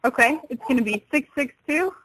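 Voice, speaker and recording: A woman's voice: sits high, fairly quick, a bit bright, breathy edge. A woman working at a pet store, explaining things patiently and a little hesitantly on a customer service phone call. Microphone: telephone.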